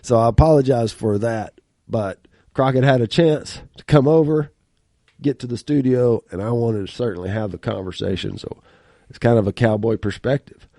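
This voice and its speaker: A man's voice: medium pitch, normal speed, mellow, no room sound. A man hosting a podcast in a studio, chatting in a folksy, talkative way with a stuffy nose and frequent laughs. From rural small-town America. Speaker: podcast host. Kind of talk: casual interview chat.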